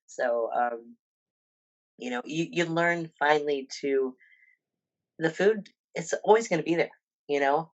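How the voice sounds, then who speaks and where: A woman's voice: low-pitched, measured pace, long pauses, highs cut off. A woman in her fifties, a personal trainer and bodybuilder, talking casually as a podcast guest with many ums and restarts. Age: 50s.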